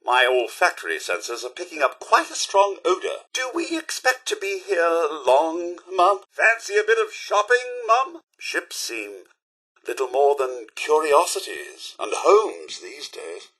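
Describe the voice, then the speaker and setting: A man's voice: a little high, sing-song, measured pace, sharp tone, breathy edge, full character voice. A man voicing a fussy, loyal robot butler in a video game, with a British accent and a formal, theatrical, dryly polite delivery. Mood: wistful, uneasy. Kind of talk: scripted video game character lines.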